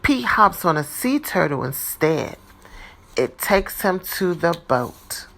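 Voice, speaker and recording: A woman reading a children's picture book aloud in a deliberate, expressive teacher's style. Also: on the low side, creaky. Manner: deliberate, animated, dramatizing for young children.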